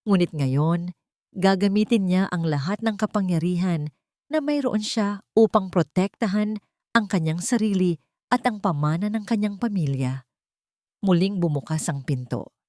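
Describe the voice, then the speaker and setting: A woman narrates a scripted drama as a storyteller. Her voice sits on the low side, recorded close and dry. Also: lively intonation, normal speed, darkish tone, no echo.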